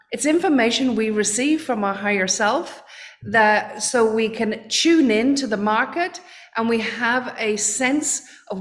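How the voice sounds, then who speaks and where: Woman, fairly high pitch, earnest, halting podcast guest. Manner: earnest, searching for words, repeats herself. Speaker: guest, trading coach. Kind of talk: podcast interview.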